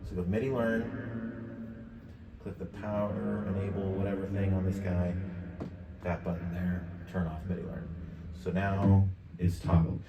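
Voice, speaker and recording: Man, 50s, low voice, offhand tutorial host thinking aloud; roomy, slightly distant mic. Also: flat delivery, a little slow, long pauses, mellow, soft background music. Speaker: tutorial presenter. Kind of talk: casual screen-share software tutorial. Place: indoor home studio desk.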